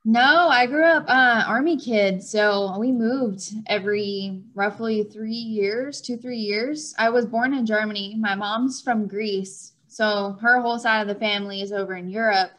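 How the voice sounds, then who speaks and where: A woman's voice: medium pitch, unhurried, hardly any pauses, a bit bright. A young woman with a light Texan accent, a guest on a podcast, chatting in a friendly, giggly way over a video call. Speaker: guest, fitness coach. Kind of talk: casual interview chat. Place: room at home by a window.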